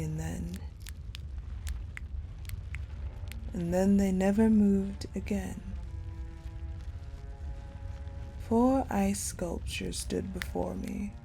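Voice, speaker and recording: Actress as cold, possessive female general for intimate audio drama: dark mellow tone, unhurried, deliberate, hushed delivery. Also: mid-range voice, vocal fry. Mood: haunted, wistful. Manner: slow, hushed, deliberate storytelling with pauses. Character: cold, possessive ice general confiding in her captive beloved. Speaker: voice actor in audio roleplay. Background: music.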